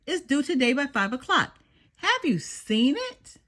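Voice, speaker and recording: A woman voicing a brisk, excitable father in a children's storybook, exclaiming and giving instructions. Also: high-pitched, pitched above the performer's own voice, exaggerated voice. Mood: brisk, steadying.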